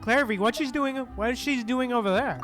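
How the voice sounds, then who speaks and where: A woman's voice: on the high side, brisk, fairly bright tone. Casual woman streamer over game music. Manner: reacts in short phrases, talks to the game characters. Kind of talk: casual live gameplay commentary.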